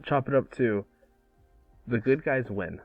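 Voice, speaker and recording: A man's voice: mid-range voice, expressive, unhurried, phone-quality sound, faint music behind. A man playing a cocky, brash ten-year-old boy hero in a cartoon table read. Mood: smug.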